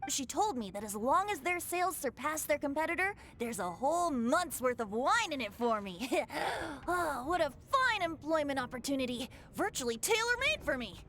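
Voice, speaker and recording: Game bard, youthful male: high, animated, theatrical, friendly and teasing. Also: normal speed, few pauses, a little hiss or hum. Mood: breezy, amused. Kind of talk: video game character acting.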